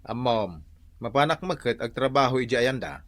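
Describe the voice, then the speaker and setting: A man's voice: medium pitch, lively intonation, normal speed, no echo, close to an everyday voice. A man playing an easygoing, teasing older brother in an Ilocano Filipino radio comedy drama, with playful exaggeration. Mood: casual, surprised. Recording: loud music behind the voice.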